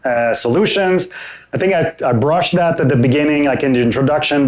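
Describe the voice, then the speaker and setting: A man presenting a technical security talk at a conference and taking audience questions, relaxed and thinking aloud with frequent hesitations and restarts. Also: mid-range voice. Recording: roomy hall sound.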